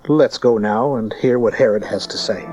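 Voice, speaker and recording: A man's voice: lowish voice, moderate pace, a little mellow, faint music behind, close to an everyday voice. Man playing a courteous traveling wise man. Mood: earnest. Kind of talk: dramatized Bible audio drama. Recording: dull tape, crowd behind.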